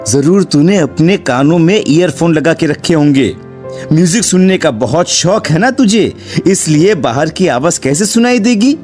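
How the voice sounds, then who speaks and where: A man playing an anxious yet curious young student in a dramatised cartoon story, with an expressive delivery. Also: a little high, normal speed, soft background music, close to an everyday voice. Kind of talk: dramatised Hindi cartoon horror story dialogue.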